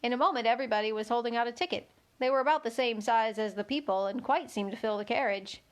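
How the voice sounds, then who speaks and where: A woman reads a children's story aloud as its narrator. Her voice is fairly high, in a storytelling read-along style. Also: quick pace. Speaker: storybook reader.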